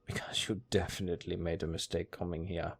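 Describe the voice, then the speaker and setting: A man with a German accent playing a cold, condescending necromancer master in an audio roleplay, speaking in a deadpan, unhurried, gravelly way. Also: deep voice. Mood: dry, condescending. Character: cold, dryly matter-of-fact male necromancer master.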